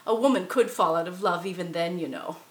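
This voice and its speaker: A woman playing the ghost of a murdered 1870s wife, telling her story theatrically, swinging from shrieks to hushed confiding. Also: on the low side, brisk, echoey room, lightly acted. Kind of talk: costumed ghost monologue.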